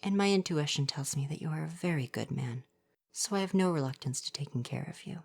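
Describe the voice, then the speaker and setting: Man playing a forest spirit, male, soft-voiced, gentle, coy, reassuring, slightly formal wording, ASMR roleplay. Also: on the high side, brisk, vocal fry. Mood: warm, shy.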